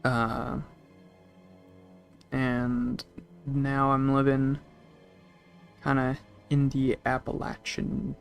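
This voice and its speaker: Young guy streaming, rambly chat, bit of fry in his voice, low music behind. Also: completely flat delivery, drawn-out words, pauses often. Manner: rambling, reads chat messages aloud. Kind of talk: casual chat with live chat while gaming.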